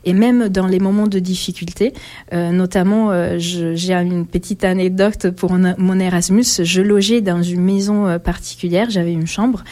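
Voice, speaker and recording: A woman in her forties speaks slowly and thoughtfully, pausing often between phrases. Her voice sits a little low and sounds mellow and warm. She is answering as a guest in an interview. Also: steady tone. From Italy. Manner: halting, thoughtful, many pauses.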